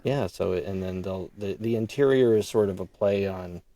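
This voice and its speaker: A middle-aged man with a low, dark and mellow voice. He chats casually as an interview guest, thinking aloud in halting, unfinished phrases. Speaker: podcast guest, audio drama creator. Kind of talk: casual chat.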